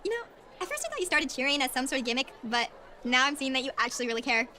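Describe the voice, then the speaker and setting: A woman playing a peppy, proud teenage girl cheer captain in a web drama, brisk and assertive. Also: sits high, very animated, full character voice. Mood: friendly. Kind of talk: scripted web drama acting. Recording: loud music behind the voice.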